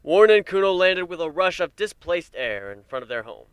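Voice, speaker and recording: Male narrator, dramatic fiction reading, smooth resonant tone, dry close sound, expressive measured delivery. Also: sits high, no echo.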